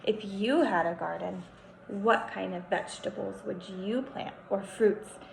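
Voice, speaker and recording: A young woman teaching kindergartners in a read-aloud style, instructive and animated, stretching words for emphasis. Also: medium pitch, unhurried, creaky. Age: young adult. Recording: roomy echo, faint background noise.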